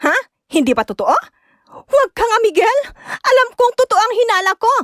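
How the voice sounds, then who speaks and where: Very shrill, nagging young wife. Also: female voice, big pitch swings, fairly bright tone, no echo, studio quality, full character voice. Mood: suspicious, accusing. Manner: scolding, accusatory, rapid repeated questions. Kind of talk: Tagalog radio-style drama acting.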